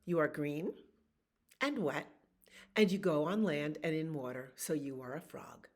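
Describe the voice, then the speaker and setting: A woman's voice: deep voice, measured pace. A woman voicing a group of bossy, know-it-all pond frogs in a raised, teasing voice that sometimes drops to a whisper.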